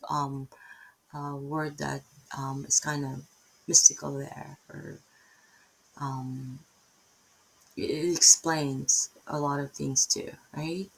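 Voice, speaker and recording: A middle-aged woman with a Filipino accent speaks in a low, gravelly and husky voice. She teaches scripture casually, wandering off topic and tagging her points with "right?". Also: poor audio. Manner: rambling, self-interrupting, tags many lines with "right?". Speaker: Bible teacher. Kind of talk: casual unscripted Bible teaching.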